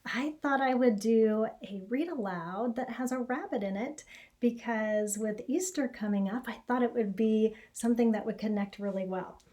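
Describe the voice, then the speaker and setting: An American woman in her forties reading a children's picture book aloud with expressive storytelling. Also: on the high side, normal speed. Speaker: elementary school educator reading aloud. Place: small indoor classroom or office.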